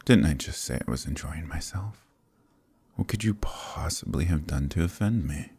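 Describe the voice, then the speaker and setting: Guy doing a demon prince, dark gravelly voice, breathy whispery flirting, bossy and teasing. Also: rumbling low, animated, normal speed, heavily acted. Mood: seductive. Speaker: voice actor in audio roleplay. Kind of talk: ASMR roleplay, close intimate murmur.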